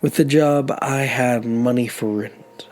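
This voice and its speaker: An actor playing an older, humble, grateful man who tells his story in halting phrases in an audio drama. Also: medium pitch, steady tone, a little mellow, lightly acted. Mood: wistful, humble. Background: music.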